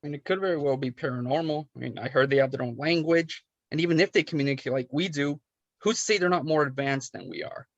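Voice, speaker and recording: A man's voice: fairly high pitch, quick pace, no room sound, highs cut off, lightly acted. Man playing a chatty bar regular, dry close sound. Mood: speculating. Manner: chatty, casual. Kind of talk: film script table read.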